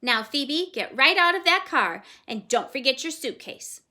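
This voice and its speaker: A woman voicing a brisk, kindly older woman who talks in a firm, matter-of-fact way. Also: sits high, lively intonation, bright, forceful tone, voice pushed up, exaggerated voice. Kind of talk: storybook read-aloud.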